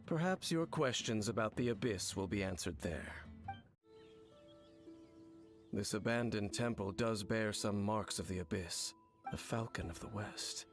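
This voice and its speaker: A male actor voicing an aloof, world-weary wanderer in a video game, speaking in a measured, formal way. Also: fairly low pitch, lively intonation, soft background music. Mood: grave. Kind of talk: video game character acting.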